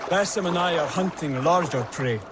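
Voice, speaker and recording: An actor playing an earnest, devout young male assassin mentor in a video game, speaking in measured, formal, instructive phrases. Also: fairly high pitch, very noisy, natural voice. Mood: solemn, earnest. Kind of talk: dramatic video game acting.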